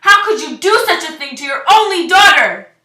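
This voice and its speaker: Young fairytale princess, played by a woman: melodramatic, pleading, sharp-toned, recorded roomy and distant. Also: very high voice, big pitch swings, normal speed, pitched above the performer's own voice, exaggerated voice. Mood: outraged. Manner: pleading, exclaiming. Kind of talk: amateur fairy tale storytelling. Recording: roomy echo, distant microphone.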